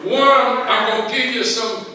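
Older Southern US pastor, very high voice, calls and responses, booming church hall heard from far off. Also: male voice, a crowd in the background. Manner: preaching with call-and-response, drawn-out emphasis. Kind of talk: sermon. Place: large church sanctuary with congregation. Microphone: handheld mic. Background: congregation calling back.

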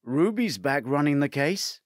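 A man's voice: a little high, lively intonation, measured pace, no echo, clean studio sound. A man voicing an injured, sardonic male investigator in an audiobook, terse and questioning. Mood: confused. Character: injured groggy male investigator, blunt, sardonic, demanding answers.

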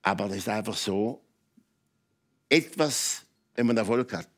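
Older Swiss German man with deep voice. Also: a little slow. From Switzerland. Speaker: talk show guest. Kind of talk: candid personal storytelling in conversation. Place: TV talk show studio with audience.

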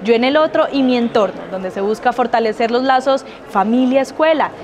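A woman's voice: on the high side, normal speed, darkish tone. A young Colombian woman presenting scripted news to camera in a busy exhibition hall. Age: young adult. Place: large indoor exhibition hall. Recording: busy expo hall crowd behind.

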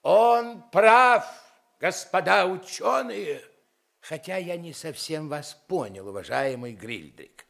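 High-pitched, swooping giant king. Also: expressive, takes it slowly, creaky, a bit bright, heavily acted. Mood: curious, astonished. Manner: pondering, exclamatory. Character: giant king, haughty, curious and amazed. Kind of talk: theatrical radio play acting.

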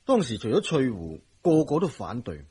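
Husky middle-aged Cantonese family doctor. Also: male voice, mid-range voice, huge pitch swings, normal speed, mellow, exaggerated voice. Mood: earnest, regretful. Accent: Hong Kong Cantonese. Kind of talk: radio drama acting.

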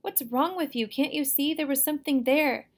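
A scared, protective boy, acted out by a woman narrator, urgent and insistent, blurts out his words in a storybook reading. The voice is raised and creaky. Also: medium pitch, fairly even intonation, brisk, close to an everyday voice. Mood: alarmed shouting.

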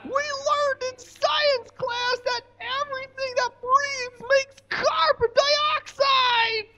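A man voicing an anxious, dim, whiny puppet boy in squeaky, halting falsetto in a live comedy puppet show. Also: steady tone, bright, forceful tone, exaggerated voice. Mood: worried, explaining. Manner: slow, drawn-out, halting delivery.

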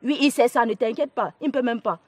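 A woman's voice: a little high, quick pace, a little mellow, husky, no echo. A woman with a Cameroonian accent playing an evasive, pleading young gossip in a family drama. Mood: gossipy, reassuring.

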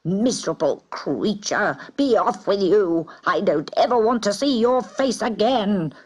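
A woman voicing a gruff little old man who breeds cats, in a storybook reading, with a scolding manner. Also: medium pitch, expressive, a little slow, voice pushed up. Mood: angry.

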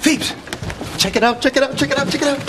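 A man's voice: very high voice, quick pace. A young man acting an eager sitcom character in studio outtakes, with very noisy sound. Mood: excited. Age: young adult. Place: indoor studio sitcom set.

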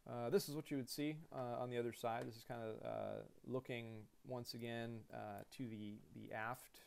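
Gravelly-voiced man explaining a cockpit. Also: mid-range voice, normal speed. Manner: relaxed and conversational, heavy with fillers and restarts. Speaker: airline pilot giving a cockpit tour. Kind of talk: casual screen-share lesson. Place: indoors at a computer.

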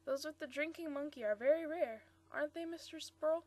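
A woman's voice: sits high, brisk, darkish tone, voice pushed up, lightly acted. A woman reading the part of a playful, eager young woman who gushes and teases. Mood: gushing. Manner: gushing, bubbly.

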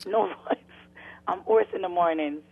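A hoarse woman calling in to a TV show by phone, speaking in a halting, hesitant way. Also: fairly low pitch, a loud crowd. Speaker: caller. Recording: tinny phone line.

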